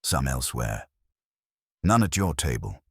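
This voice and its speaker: A man voicing a cheeky detective's assistant in an audiobook, flippant, dry and quick-witted. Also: extremely low pitch, big pitch swings, breathy edge, no echo, voice pushed down, exaggerated voice. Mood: cheerful needling.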